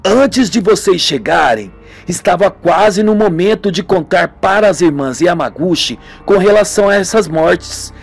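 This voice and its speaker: A man's voice: sits high, lively intonation, measured pace, vocal fry, breathy edge, heavily acted. A man voicing a knowing, eager young man who explains things in an amateur radio soap, with music. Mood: confident.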